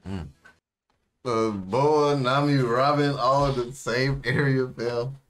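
A man's voice: medium pitch, takes it slowly, faint music behind. A young American man chatting casually and slangily as he reacts to a show. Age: young adult. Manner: slangy, informal. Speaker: anime reaction host. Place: small indoor room, seated.

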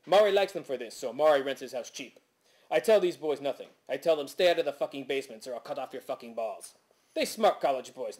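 A man voicing a paranoid, foul-mouthed landlord with an exaggerated fake Quebecois French accent, ranting in broken English as part of a story reading. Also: fairly high pitch. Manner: ranting in broken English, referring to himself in third person.

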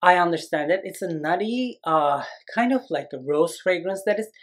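A man in his thirties from Turkey, giving an animated, expressive perfume review to camera in a small room. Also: moderate pace. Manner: animated, expressive, lively swings in pitch and volume. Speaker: fragrance reviewer. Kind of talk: casual video review. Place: small indoor room against a plain wall.

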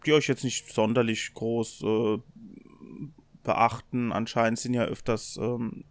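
A man's voice: mid-range voice, little pitch movement, a little slow, no echo. A man running a tabletop role-playing game as game master, explaining the scene in casual, unscripted talk. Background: faint music.

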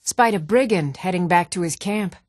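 A woman's voice: lowish voice, normal speed, no echo, natural voice. Woman as a plucky retired adventurer, dry recording. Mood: eager, nostalgic. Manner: frank, eager. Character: seasoned former adventurer, married woman, plucky and restless. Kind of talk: fantasy video game character dialogue.

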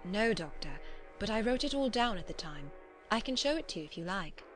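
A woman playing a polite, modest young married woman in a classic novel, with a demure and gentle manner. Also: medium pitch, big pitch swings, a little fast, faint music behind, close to an everyday voice.

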